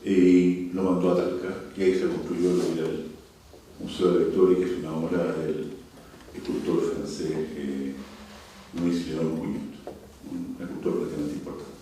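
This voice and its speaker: An older man with a Chilean accent gives a formal speech in a deliberate, emphatic way, pausing between phrases. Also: a bass voice, little pitch movement, dark tone. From Santiago, Chile. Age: 60s or older. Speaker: university leader, speaker at a cultural forum. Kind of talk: formal prepared speech with asides. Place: indoor cultural venue, picked up from afar. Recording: very echoey hall, distant mic, faint noise.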